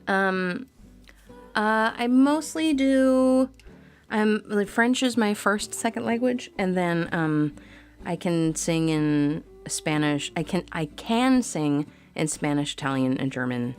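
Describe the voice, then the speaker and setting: A woman hosting a live singing stream, chatting casually with viewers between songs in quick, rambling bursts full of ums and likes. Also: mid-range voice, animated, faint music behind. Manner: reads out viewer comments and answers them. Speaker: livestream singer and host.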